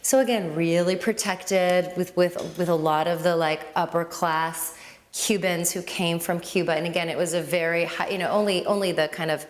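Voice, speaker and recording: A woman's voice: fairly low pitch, steady tone, moderate pace. A woman from Lebanon with a Lebanese accent, raised speaking Arabic, a guest telling her story in a reflective, casual way with fillers and restarts. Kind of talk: casual conversational interview.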